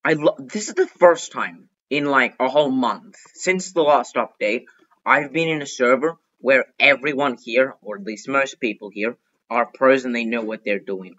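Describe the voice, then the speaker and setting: A male gaming host with a mid-pitched voice narrates his play in an excitable, chatty way. He talks to himself and repeats short phrases over and over. The microphone sounds dull and lo-fi. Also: moderate pace. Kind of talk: excitable gameplay commentary.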